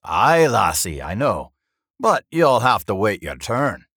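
A man voicing a gruff, battle-worn, earthy dwarf warrior in a fantasy audiobook, growling and folksy. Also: lowish voice, sing-song, slow, fairly bright tone, no room sound, clean studio sound, pitched above the performer's own voice, heavily acted. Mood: teasing. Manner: folksy, says lassie and laddie.

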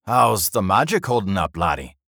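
A man voicing a gruff, battle-worn, earthy dwarf warrior in a fantasy audiobook, growling and folksy. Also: on the low side, big pitch swings, normal speed, bright, forceful tone, no echo, clean studio sound, exaggerated voice. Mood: grave, warning. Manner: folksy, says lassie and laddie.

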